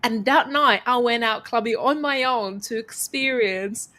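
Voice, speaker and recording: Woman, fairly high voice, earnest, motivational, repeats lines for effect, interview guest. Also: a little slow. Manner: earnest, coaching, repeats phrases for emphasis; calls herself quiet-voiced. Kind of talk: reflective motivational storytelling.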